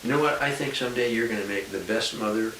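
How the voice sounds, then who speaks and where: An older man, a grandfather, gently questioning a grandchild in a casual home interview, coaxing and praising. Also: lowish voice, fast talker. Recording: echoey room from far off with hiss and clicks.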